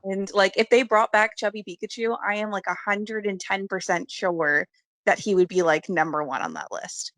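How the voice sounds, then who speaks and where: A woman's voice: mid-range voice, fairly even intonation, normal speed, a bit bright, no echo. A woman chatting casually as a podcast guest, in a playful and giggly manner. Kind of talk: casual chat about games and films.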